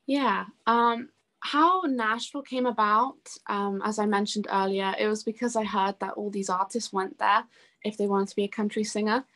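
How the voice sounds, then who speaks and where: A young woman talks in a casual interview as a musician. Her voice sits at an average pitch, and she speaks in a chatty, enthusiastic way, giving relaxed, anecdotal answers. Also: normal speed. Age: young adult.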